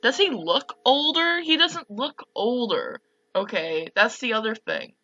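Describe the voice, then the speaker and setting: A woman playing a visual novel and commenting casually, with slangy, expressive reactions. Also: a little high, a little slow, highs cut off. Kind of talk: casual let's play commentary.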